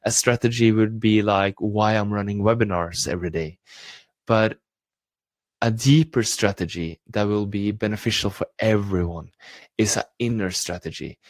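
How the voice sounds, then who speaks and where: A man's voice: fairly low pitch, steady tone, moderate pace, dry recording. A man with a Norwegian accent giving an enthusiastic motivational live broadcast talk as a coach trainer. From Norway.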